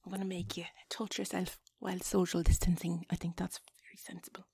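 A woman with an Irish accent playing a flustered, chatty wife in an intimate audio roleplay, halting and coaxing, often dropping into a breathy whisper. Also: on the low side, little pitch movement, a little mellow, no echo, exaggerated voice. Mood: nervous, teasing.

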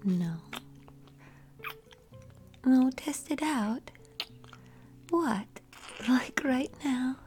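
A woman acts out an affectionate, intimate lover in an adult audio roleplay. The voice is breathy and whispery, fairly high, and very slow, halting between sighs. Faint music plays behind it. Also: animated, darkish tone, exaggerated voice. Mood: flirty, coy. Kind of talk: intimate erotic audio roleplay addressed to the listener.

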